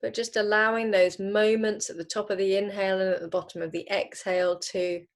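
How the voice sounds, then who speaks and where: A woman leading a guided relaxation as a yoga teacher, unhurried and gently instructing, leaving pauses between phrases. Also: medium pitch, little pitch movement.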